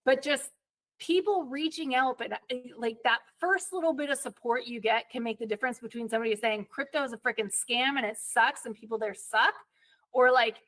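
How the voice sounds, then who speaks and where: A woman hosts a podcast with a chatty, candid way of talking and a somewhat raised pitch. She rattles through stories with quick asides. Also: fairly bright tone. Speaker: podcast host. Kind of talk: casual chat.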